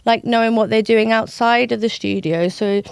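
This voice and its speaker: Young woman guest, clear smooth tone, dry close sound, chatty storyteller. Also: fairly high pitch, fairly even intonation, moderate pace, no echo. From London, raised in South Wales. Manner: chatty, filler-heavy storytelling. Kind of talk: casual podcast chat.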